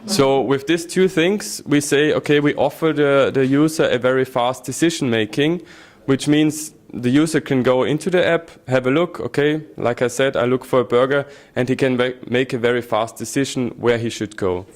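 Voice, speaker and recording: A man's voice: medium pitch, normal speed. Man explaining his app by example. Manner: explains with examples and quoted user thoughts. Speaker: startup founder presenting app. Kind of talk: pitch presentation.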